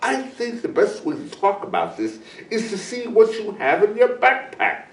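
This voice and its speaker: A man's voice: high-pitched, sing-song, normal speed, reverberant, distant mic, pitched above the performer's own voice. A man voicing a kindly, earnest bald eagle who teaches children in a storybook.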